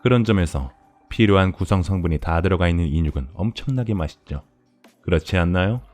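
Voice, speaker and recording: Low-pitched, chillingly polite cannibal farmer for horror audio drama man acting; unhurried, gloating lecturer, music underneath. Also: lively intonation, darkish tone. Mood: smug, relishing. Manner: courteous, leisurely explaining, gloating. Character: polite, sadistic male cannibal farmer, chillingly courteous villain. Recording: music behind the voice.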